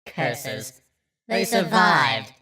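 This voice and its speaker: A man's voice: medium pitch, big pitch swings, very slow, gravelly, sharp tone, recorded from a distance, voice pushed up, heavily acted. A man voicing a bored, drawling undead queen. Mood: cold. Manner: drawling, dismissive and irritable.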